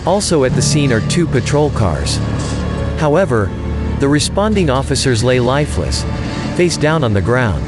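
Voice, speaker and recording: A man delivering dramatic scripted narration as the narrator of a superhero audio drama. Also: medium pitch. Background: loud music and effects.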